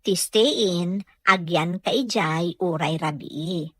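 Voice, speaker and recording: A woman as a gentle, struggling young mother. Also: lowish voice, takes it slowly, no echo, clean studio sound. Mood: gentle, explaining. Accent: Ilocano. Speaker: radio drama actor. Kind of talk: Filipino radio drama dialogue.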